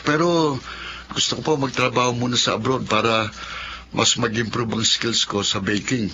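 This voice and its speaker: Actor as a creaky-voiced, shy Filipino student. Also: male voice, mid-range voice, steady tone, normal speed, natural voice. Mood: shy, polite. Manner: shy, laconic. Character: quiet, polite young male student, family friend. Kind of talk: radio drama acting. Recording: lo-fi audio with clear background noise.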